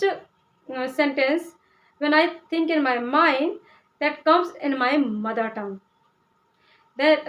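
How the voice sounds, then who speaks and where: A woman's voice: high voice, poor audio. A young Indian woman from Uttar Pradesh, a Hindi speaker, explaining English learning with many fillers and restarts. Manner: hesitant, many "uh" fillers and restarts, asks "okay?". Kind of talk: casual explanatory talk to camera.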